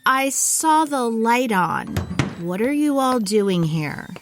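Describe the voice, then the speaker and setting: A woman's voice: fairly high pitch, expressive, slow, noisy background. A woman playing a sensible female agency tech expert in a comedy audio drama, with a dry, matter-of-fact delivery. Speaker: Zelda.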